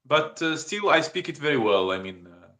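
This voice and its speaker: A man's voice: a little high, sing-song, moderate pace, a bit bright. Algerian man, echoey room. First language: Arabic and Russian. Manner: hesitant, many fillers and restarts. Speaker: guest. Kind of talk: casual video chat.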